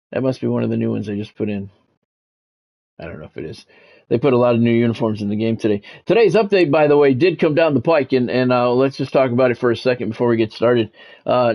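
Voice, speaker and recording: A man's voice: mid-range voice, muffled recording. A middle-aged man streaming a sports video game, talking casually and commentating in a blunt, sardonic way. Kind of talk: casual livestream chat with sports play-by-play commentary.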